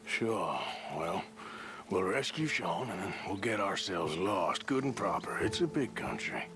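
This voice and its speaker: An actor playing a rugged American outlaw gunslinger in a western game, with a blunt drawl. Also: male voice, deep voice, sing-song, measured pace, heavily acted. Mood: resigned. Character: rugged, gruff outlaw gunslinger of the Wild West. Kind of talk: cinematic western game acting.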